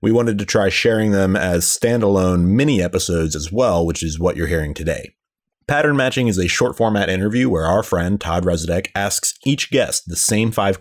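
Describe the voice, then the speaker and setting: Deep-voiced man reading a podcast intro. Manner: steady, read-aloud announcement. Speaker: podcast co-host.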